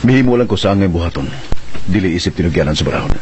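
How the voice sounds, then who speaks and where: Deep-voiced, earnest hero. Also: male voice, expressive, racing, darkish tone, slight background noise. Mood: humble, earnest. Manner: earnest, speech-like phrasing. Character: earnest, modest young hero of a freedom struggle. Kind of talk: melodramatic radio drama acting.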